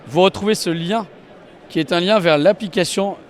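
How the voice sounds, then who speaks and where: Higher-pitched man giving an enthusiastic demo. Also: normal speed. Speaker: company representative demonstrating products at a trade show. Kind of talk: live walk-through product demonstration to camera. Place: trade show stand and inside a car.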